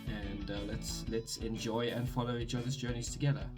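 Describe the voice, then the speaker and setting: A man's voice: mid-range voice, fairly even intonation, fairly quick, over music. Chatty young South African man. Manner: rambling, self-correcting, chatty. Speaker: vlogger. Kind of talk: casual talk to camera. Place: his bedroom.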